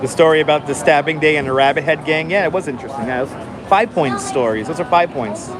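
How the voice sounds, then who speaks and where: A man hosting a casual live stream, chatting calmly and enunciating clearly while he waits in line outdoors. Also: a little high, fast talker, crowd noise. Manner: calm, enunciated, chatty with viewers. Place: outdoors on a city street waiting in line. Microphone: phone held for a live stream. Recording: noisy outdoor phone stream. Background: city traffic and street noise.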